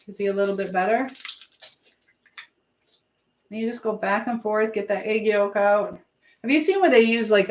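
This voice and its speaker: A woman hosting a casual live chat from her kitchen, talking informally to viewers while she cooks. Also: mid-range voice. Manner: chatty, reads viewer messages aloud and questions them. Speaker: live stream host giving advice. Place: indoors in a kitchen. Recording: echoey room with background noise.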